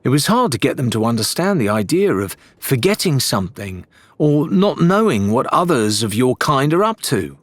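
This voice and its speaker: A man's voice: mid-range voice, big pitch swings, moderate pace, no echo, pitched above the performer's own voice. A man voicing a spirited, earnest pirate woman in an audiobook, a little defensive in manner.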